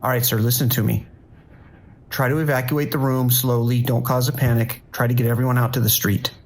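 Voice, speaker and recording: A man's voice: medium pitch, level tone, fairly quick, close to an everyday voice. A man playing a harried, commanding New York police dispatcher in an audio drama, giving urgent, clipped orders. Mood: controlled, firm.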